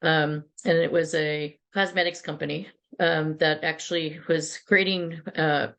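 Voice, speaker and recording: A woman's voice: deep voice, fairly even intonation, unhurried, a bit bright. A middle-aged woman, a PR agency founder, telling travel stories in a chatty interview with many restarts and asides. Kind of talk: casual interview storytelling.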